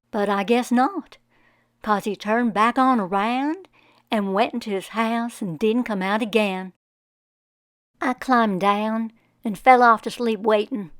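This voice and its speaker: A woman voicing a folksy, wonderstruck farmer who recalls a magical childhood summer in expressive storybook narration. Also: fairly high pitch, clear, resonant tone, no room sound, studio quality. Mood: awed, scared, then delighted. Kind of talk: storybook first-person narration.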